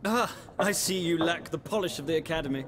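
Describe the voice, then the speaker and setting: A man playing a high-pitched, pompous scholar. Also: brisk, noisy background, natural voice. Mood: superior, smug. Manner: pompous, florid, theatrical. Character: pompous male scholar, eager and bookish. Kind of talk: video game character dialogue. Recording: crowd chatter behind the voice.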